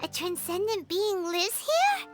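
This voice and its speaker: A woman voicing a tiny, curious fairy companion in a video game, high and excitable. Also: slow pace, heavily acted. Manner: bright, excitable, high and sing-song. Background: game music.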